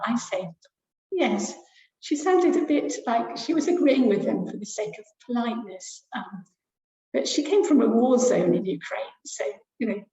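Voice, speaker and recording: A middle-aged woman lecturer giving an academic webinar talk over a video call, pausing often with fillers as she thinks aloud. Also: on the high side, dark tone. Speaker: university lecturer presenting research. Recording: roomy, dull lo-fi webinar audio.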